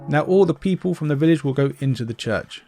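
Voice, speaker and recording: A man's voice: fairly high pitch, lively intonation, fast, vocal fry, mellow. A man giving casual gameplay commentary, explaining things step by step in an informal way. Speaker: game walkthrough commentator.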